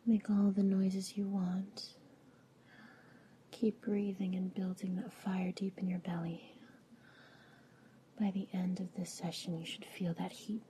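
A woman playing a coaxing, indulgent teacher in an intimate roleplay, speaking in a soft, breathy whisper and murmuring slowly. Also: fairly low pitch, background noise, lightly acted. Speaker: audio roleplay performer.

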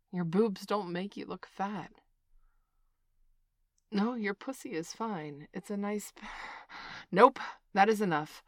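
A woman plays a middle-aged parent with a fairly low voice who speaks slowly and deliberately. The parent swings between scolding and soothing, and the recording is close and dry. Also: no room sound. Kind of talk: scripted audio roleplay.

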